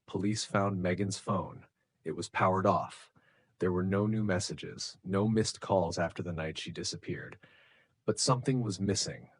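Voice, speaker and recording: A man narrating a scripted true crime story in a measured, suspenseful way, with short deliberate sentences. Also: low voice, breathy edge, no echo. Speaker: narrator of true crime stories for English learners.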